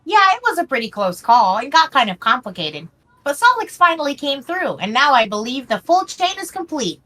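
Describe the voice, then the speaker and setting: A woman's voice: sits high, sing-song, brisk, bright, forceful tone, full character voice. A woman voicing a spirited, frank young female troll in an amateur webcomic dub. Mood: relieved. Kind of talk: amateur dramatic reading of a webcomic.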